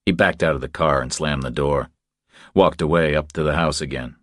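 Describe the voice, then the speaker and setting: A man's voice: rumbling low, normal speed, fairly bright tone, dry recording, studio quality. A man reading a scripted thriller narration as an audiobook narrator.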